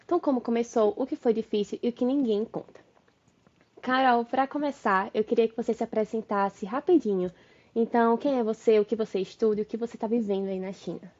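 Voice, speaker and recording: A woman's voice: a little high, fairly quick, mellow. A young Brazilian woman hosting a podcast interview, asking friendly, upbeat questions. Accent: Brazilian Portuguese. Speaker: podcast host, marketing analyst. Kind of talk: casual interview chat. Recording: dull lo-fi sound.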